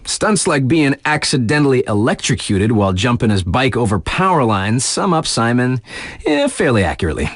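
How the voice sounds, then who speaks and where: Hip radio DJ with animated delivery. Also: male voice, mid-range voice, no room sound, clean studio sound. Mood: hyped, admiring. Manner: slangy, playful, conversational asides. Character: hip, laid-back male radio DJ covering snowboard races. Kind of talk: casual sports radio spotlight patter.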